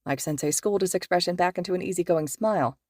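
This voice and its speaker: A woman narrating a fan fiction audiobook in a low, steady voice. Her delivery is even and measured. The sound is close and dry, with no room echo. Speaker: podfic reader.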